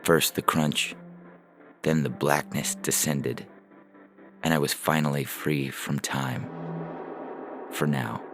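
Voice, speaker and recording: A man reads a first-person story in an extremely low voice with heavy creak. Music plays clearly behind his scripted narration. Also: steady tone, slow pace, long pauses. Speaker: fiction podcast reader and announcer.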